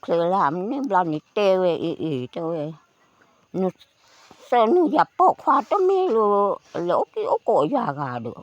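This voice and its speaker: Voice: mid-range voice, big pitch swings, extremely slow, no echo, lo-fi sound. A person telling a folk tale aloud in a conversational way. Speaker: folk storyteller.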